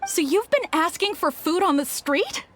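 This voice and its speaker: A woman's voice: extremely high pitch, sing-song, normal speed, a bit bright, slight background noise, heavily acted. A woman voicing a stern but caring, anxious mother in a video game. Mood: scolding. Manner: reproachful, exacting. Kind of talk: video game character dialogue.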